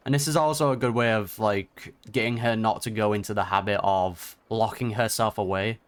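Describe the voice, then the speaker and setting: A woman's voice: extremely low pitch, fairly even intonation, moderate pace. A woman hosting a reaction video, giving casual, informal, slangy commentary on a game.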